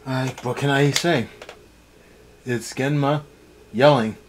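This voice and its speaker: Male review co-host, average pitch, sarcastic unscripted chat, noisy amateur recording. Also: steady tone, slow pace. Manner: sarcastic, snarky. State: tired. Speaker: co-host of an anime episode review.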